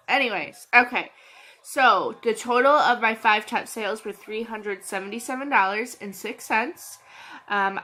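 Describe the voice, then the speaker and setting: A woman's voice: medium pitch, bright, forceful tone, a little hiss or hum. Chatty woman with a cold. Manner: chatty, scattered, lots of ums and restarts. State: has a cold. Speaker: channel host, reseller. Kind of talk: casual vlog chat.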